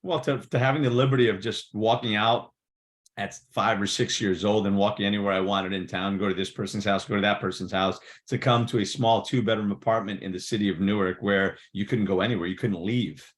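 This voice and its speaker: Guest, Portuguese-born man, low register, loose rambling storyteller, plenty of "you know". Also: fairly even intonation, brisk. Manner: rambling with many fillers and restarts. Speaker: podcast guest. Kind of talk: casual interview storytelling. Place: New Jersey.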